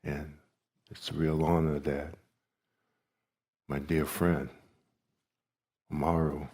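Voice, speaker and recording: A man in his seventies from the South Carolina coast, whose first language is Gullah, gives a retreat teaching talk slowly and haltingly, with long pauses. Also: rumbling low, flat delivery, a little mellow. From South Carolina coast, United States. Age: 70s. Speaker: meditation teacher. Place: meditation hall.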